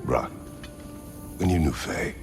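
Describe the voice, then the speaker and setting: A man voicing a stern, weary Spartan warrior god in a video game, terse, formal and grave, with a very deep gravelly voice. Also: slow, music in the background, close to an everyday voice. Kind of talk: video game character acting.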